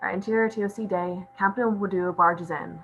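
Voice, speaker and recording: A woman's voice: mid-range voice, fairly even intonation, a little fast, fairly bright tone, muffled recording, a little hiss or hum. A woman reading stage directions as scripted narration at a table read.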